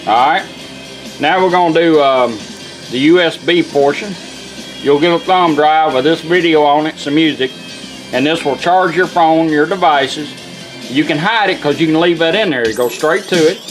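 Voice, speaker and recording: A man's voice: a little high, normal speed, faint music behind, low-quality recording. A middle-aged man giving a casual hands-on product demonstration, explaining step by step in a folksy, informal way. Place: indoors at a workbench.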